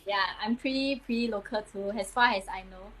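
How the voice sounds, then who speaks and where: A woman's voice: mid-range voice, a little fast, fairly bright tone, low-quality recording. A Singaporean woman hosting a live stream, chatting casually and coaching in a loose, friendly way.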